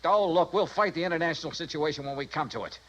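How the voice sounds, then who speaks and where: An actor playing a tough, blunt insurance investigator with an American accent, brisk and forceful, in a 1950s radio noir drama. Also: male voice, fairly high pitch, close to an everyday voice. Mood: urgent, commanding. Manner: brisk, forceful, plain-spoken. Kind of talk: 1950s radio noir acting, hard-boiled.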